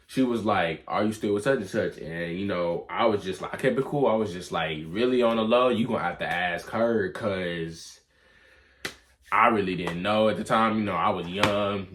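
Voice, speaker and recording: A man's voice: fairly low pitch, moderate pace, background noise. A young adult man telling a personal story in a casual, rambling, slangy way. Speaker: vlog storyteller.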